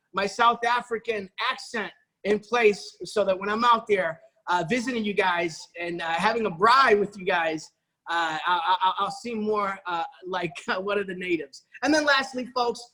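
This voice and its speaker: A man's voice: high voice, sharp tone, rough recording. A man giving an enthusiastic sales presentation, eagerly addressing his listeners as folks and often restarting with repeated words. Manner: frequent repeated-word restarts. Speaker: presenter pitching an investment opportunity.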